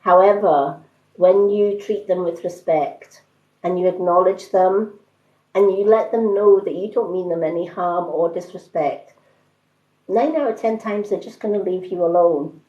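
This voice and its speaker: A woman chatting casually to live-stream viewers as a psychic card reader, with a calm, soothing delivery. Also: fairly low pitch, a little slow. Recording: roomy, slightly dull livestream audio.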